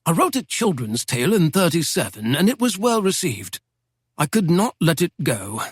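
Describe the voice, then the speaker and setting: A man reads as a chatty older English professor, witty and exact, his voice lifting into a fairly high register and leaping about with animated inflection. The sound is close and dry, with no room around it. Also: moderate pace, slightly rough, no echo. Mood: genial. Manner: lively, playful, precise diction. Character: genial older male Oxford professor, witty and talkative.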